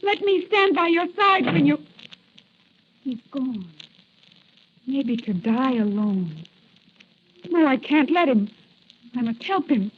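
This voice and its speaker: An actress playing a devoted young damsel in 1940s radio melodrama, breathless and pleading, on an old recording. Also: female voice, sits high, expressive, slow, long pauses, breathy edge, muffled recording, heavily acted. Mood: desperate pleading. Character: devoted, anguished young damsel, commissioner's daughter.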